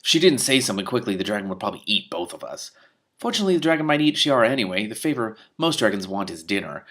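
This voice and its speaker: A man reading a story aloud expressively, adding his own excited commentary. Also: lowish voice, fast. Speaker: book reader and commentator. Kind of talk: animated read-aloud with commentary.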